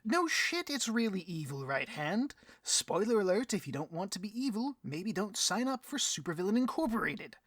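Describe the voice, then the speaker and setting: A bloviating, vain male supervillain boss for a comedic audio roleplay. The voice is slightly high and husky, recorded close and dry. He speechifies with theatrical grandeur and bristles petulantly. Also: big pitch swings, moderate pace, a bit bright, no echo, exaggerated voice. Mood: sarcastic.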